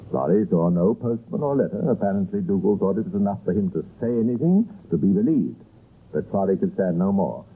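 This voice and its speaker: A man narrating an old radio crime drama in a measured, wry and ominous manner. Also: low voice, slightly rough. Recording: tinny, noisy old radio recording.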